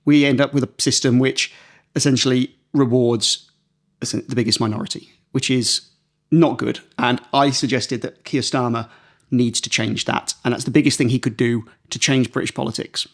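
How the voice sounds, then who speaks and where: A man's voice: medium pitch, steady tone, normal speed. A man hosting a political podcast, explaining things step by step in an informal, chatty way. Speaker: podcast host, political journalist.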